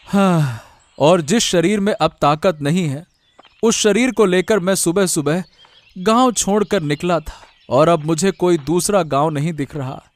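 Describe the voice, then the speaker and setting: Man acting high-pitched pleading young villager. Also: measured pace, no room sound. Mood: weary, desperate. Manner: pleading, repeats himself. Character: desperate young male villager, wrongly banished, pleading. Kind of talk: Hindi cartoon moral-story acting.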